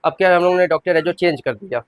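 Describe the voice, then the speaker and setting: A man in his thirties talks casually to his viewers about his shop and family in a homemade vlog. His voice sits a little higher than most men's. He keeps up a relaxed, chatty flow. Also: rapid-fire, slight background noise. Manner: chatty, addresses viewers as friends. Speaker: vlogger, shop owner and father.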